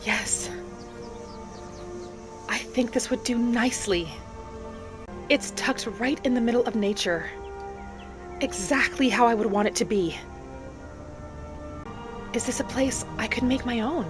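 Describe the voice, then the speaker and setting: A woman voicing a gentle, hopeful young wood elf adventurer, narrating her journey softly and without hurry over background music. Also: on the high side, lightly acted. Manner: soft, unhurried, dreamy, earnest. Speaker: roleplay series host. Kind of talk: in-character scripted narration, storytelling. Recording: music behind the voice.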